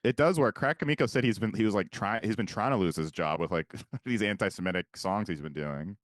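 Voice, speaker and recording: A man's voice: on the low side, fairly quick, no echo, studio quality. A man co-hosting a podcast in a casual, joking chat, laughing often. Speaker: podcast co-host.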